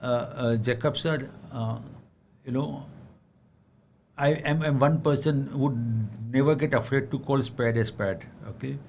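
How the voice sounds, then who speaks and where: A man's voice: mid-range voice, fairly even intonation, a little slow, a little mellow, slight background noise. A middle-aged man with an Indian English accent answers viewer questions about the budget, speaking frankly with many fillers and restarts, adding tag questions and sometimes switching into Hindi. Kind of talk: livestream Q&A on the budget.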